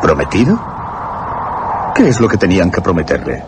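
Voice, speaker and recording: An actor playing a troubled, brooding gentleman haunted by suspicion in a suspense radio drama. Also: sits low, huge pitch swings, moderate pace, background noise. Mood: uneasy, questioning.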